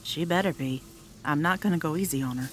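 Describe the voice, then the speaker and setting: A woman's voice: fairly quick, natural voice. A woman playing a tough, uncompromising mother and field agent in an audio drama, firm and blunt. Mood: stern. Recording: faint low rumbling noise.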